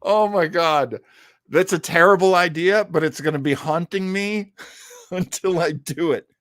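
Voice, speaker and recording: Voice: mid-range voice, a little slow, a bit bright, dry recording, studio quality. A host chatting casually on a livestream in an effusive, playful and theatrical way. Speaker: podcast host.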